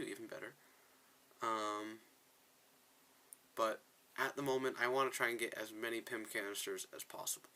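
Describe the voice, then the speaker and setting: A man with a very bright, forceful voice talks through his gameplay in a casual, off-the-cuff way. He is opinionated and readily exasperated, speaking at an ordinary pitch into a close mic. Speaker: gaming video creator, player. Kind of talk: casual game commentary with dialogue read aloud.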